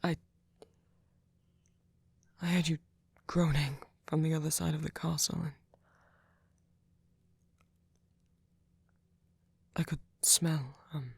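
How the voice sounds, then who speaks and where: Man acting a breathy, gentle vampire boyfriend. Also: fairly high pitch, flat delivery, a little slow, no room sound, heavily acted. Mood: worried, tender. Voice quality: breathy, often near whisper. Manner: soft-spoken, hesitant, stammering, tender. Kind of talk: ASMR roleplay audio drama.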